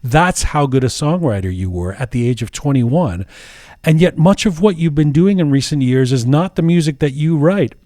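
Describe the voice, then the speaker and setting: A man's voice: on the high side, lively intonation, moderate pace, mellow, dry recording, clean studio sound. A man hosting an interview podcast, musing aloud with many restarts and false starts. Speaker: podcast host.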